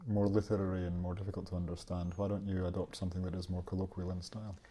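A man's voice: low-pitched, flat delivery, very fast, mellow, husky. A man interviewing a guest, asking probing questions and backchanneling often. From Scotland. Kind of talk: research interview.

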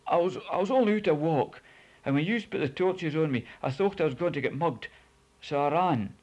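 A man with a Scottish accent voicing a panicky middle-aged poacher who stammers and pleads in a high, strained voice. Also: expressive, brisk, darkish tone. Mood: startled excuses.

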